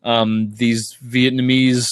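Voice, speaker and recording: A man's voice: medium pitch, little pitch movement, takes it slowly, clean tone, no room sound, clean studio sound. A man chatting casually and enthusiastically, rambling through recaps in an informal, sweary way. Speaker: podcast host or guest discussing comics.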